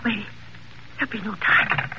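A woman's voice: measured pace, close to an everyday voice. An actress playing a frantic, terrified woman pleading on the telephone in a stammering 1940s radio melodrama. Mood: hushed terror. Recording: muffled, noisy vintage radio recording.

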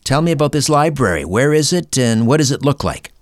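A man's voice: medium pitch, very animated, brisk. A man hosting a show, reading scripted introductions and questions in a presenter's style. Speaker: podcast and radio host. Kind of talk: scripted host narration, ad reading and interviewing.

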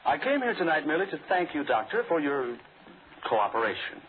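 An actor playing a cool, double-crossing hired killer in a 1940s radio drama, speaking with smug, understated courtesy. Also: male voice, on the high side, sing-song, a little fast. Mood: coolly defiant. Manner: understated, formal, smugly courteous. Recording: tinny, noisy old 1940s radio recording.

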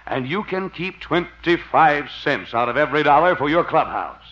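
An actor playing a flattering, folksy con man of a salesman in a 1950s radio comedy, ingratiating in manner. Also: a little high, moderate pace. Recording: dull lo-fi old radio recording.

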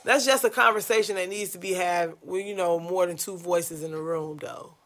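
A young woman with a New York accent and a deep-sounding voice, co-hosting a casual podcast in a blunt, profane, animated way. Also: normal speed. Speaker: podcast co-host.

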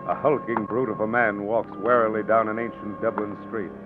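A male narrator tells a 1940s radio drama with grave, deliberate phrasing. His voice is fairly low. Music plays behind him, and the sound is thin and tinny like an old broadcast. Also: fairly even intonation. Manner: solemn, portentous, deliberate phrasing.

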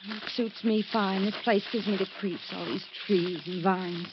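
A woman's voice: mid-range voice, steady tone, unhurried, close to an everyday voice. An actress playing an anxious, jumpy woman in a 1950s radio drama, worried and pleading. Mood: uneasy. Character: anxious, jumpy American woman stranded abroad. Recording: noisy, muffled 1950s radio recording.

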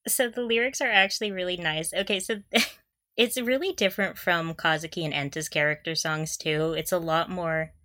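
Bright-voiced, giggly woman co-hosting a podcast. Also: on the low side, fairly even intonation, normal speed, no echo, studio quality. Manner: giggly, enthusiastic. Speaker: podcast co-host. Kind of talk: casual fan discussion.

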